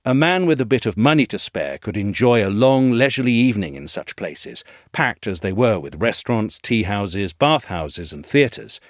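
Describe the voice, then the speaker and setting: A man reading a scripted audio essay in a measured, articulate storytelling manner. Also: fairly low pitch, sing-song, no room sound, studio quality. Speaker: essay narrator, reader.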